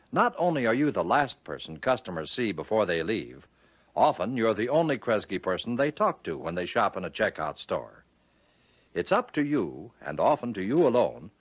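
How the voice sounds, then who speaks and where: An American man reading scripted narration for a 1940s instructional film, giving key phrases measured, instructive emphasis. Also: lowish voice, dry recording.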